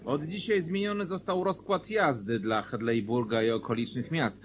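A man's voice: medium pitch, fairly even intonation, moderate pace, a little hiss or hum, natural voice. An actor playing a deferential messenger reporting to his boss in a radio drama. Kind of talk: Polish radio drama acting.

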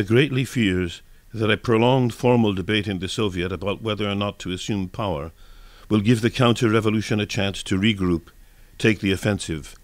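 Low-voiced man reading a historical narration. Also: no echo. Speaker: narrator and reader. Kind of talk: scripted dramatic reading for radio.

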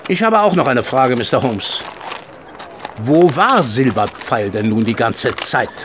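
A man's voice: mid-range voice, sing-song, measured pace, noisy background. A man playing a blunt, self-assured colonel in a German audio drama. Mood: blunt, indignant. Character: blustery male colonel, horse-racing devotee.

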